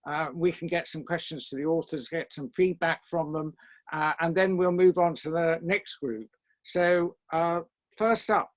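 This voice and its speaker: A man with a notably high-pitched voice hosts a reading event over a video call. He introduces each speaker informally, pausing often as he goes. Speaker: host of an online reading event. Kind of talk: introducing readers, hesitant event hosting. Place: online group video call.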